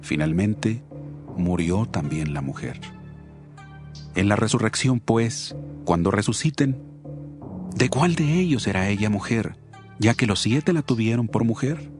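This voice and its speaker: A man playing a skeptical religious questioner in a dramatized scripture audiobook, deliberately laying out an argument. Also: lowish voice, lively intonation, music in the background. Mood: probing.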